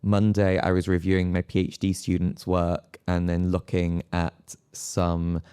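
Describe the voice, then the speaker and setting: A man's voice: low-pitched, completely flat delivery, a little slow, warm tone, clear, resonant tone, no room sound, studio quality. A man, a university lecturer, talking informally about his work as a panel guest. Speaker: senior lecturer in psychology on a panel. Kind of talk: informal panel discussion answer.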